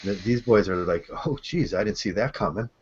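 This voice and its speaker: Low, soft-voiced man with gravelly edge. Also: expressive, brisk, a little hiss or hum. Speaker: host. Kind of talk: casual online discussion. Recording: dull lo-fi call audio.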